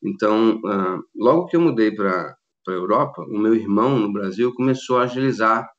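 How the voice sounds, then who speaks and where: A man's voice: lowish voice, normal speed. A Brazilian man in his fifties with a Rio accent, chatting as a guest in a casual interview over a video call, rambling with many restarts and laughs. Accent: Rio de Janeiro Brazilian Portuguese. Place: at home in Australia. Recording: dull lo-fi video call audio.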